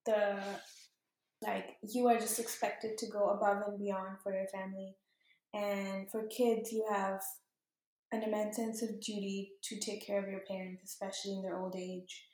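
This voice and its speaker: A woman's voice: mid-range voice, little pitch movement, normal speed, darkish tone. A young woman from Pakistan, a native Urdu speaker, talking casually about her home with many ums and likes and light laughs. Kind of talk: casual explanation, personal talk. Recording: echoey room.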